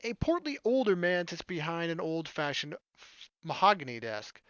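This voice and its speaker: A man's voice: fairly high pitch, big pitch swings, moderate pace, a bit bright, no room sound, dull audio. A man hosting a visual novel playthrough, reading text aloud and adding casual, joking asides. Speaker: gaming video host.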